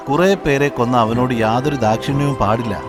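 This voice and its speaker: A man's voice: mid-range voice, fairly quick, in a large hall, over music, natural voice. A man with a Malayali accent playing a stern, condemning townsman in a cartoon.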